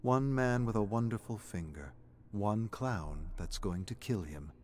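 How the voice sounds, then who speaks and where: Low-voiced man narrating a dark story. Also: animated, measured pace. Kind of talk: dramatic scripted story narration.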